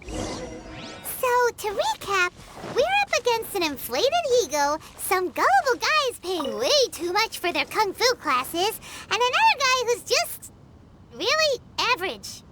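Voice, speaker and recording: A woman's voice: extremely high pitch, huge pitch swings, a little slow, heavy background noise, full character voice. A woman voicing a tiny, bubbly, sassy floating companion creature in a video game, blunt and teasing. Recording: game audio with loud music.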